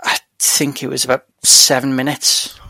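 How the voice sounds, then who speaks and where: Gravelly bright-voiced Welsh man. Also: mid-range voice, flat delivery, normal speed, dry recording. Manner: chatty, self-deprecating, laughs often. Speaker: podcast co-host. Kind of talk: casual chat.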